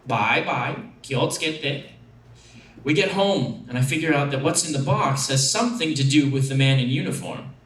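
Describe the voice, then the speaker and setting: A man reading a first-person short story aloud as an actor, in an expressive, dramatic way. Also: medium pitch. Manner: expressive, acting out dialogue. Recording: echoey room, distant microphone.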